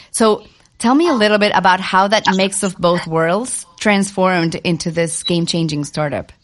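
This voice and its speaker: A woman with a mid-pitched voice hosts a podcast. She reads her opening from a script and then asks her guest questions. She sounds eager and upbeat. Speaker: podcast host and journalist. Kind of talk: scripted intro and interview questions.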